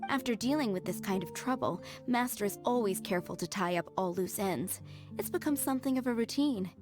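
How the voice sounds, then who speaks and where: An actress playing a polite, formal and reassuring head maid in a video game. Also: female voice, mid-range voice, expressive, brisk, darkish tone, natural voice. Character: courteous, composed female head maid of a winery. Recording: clear music behind the voice.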